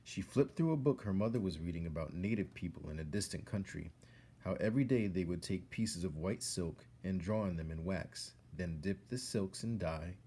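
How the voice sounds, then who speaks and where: Low husky guy reading a kids story, expressive, pauses for drama. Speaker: storyteller reading a children's book aloud. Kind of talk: narrated story read-aloud.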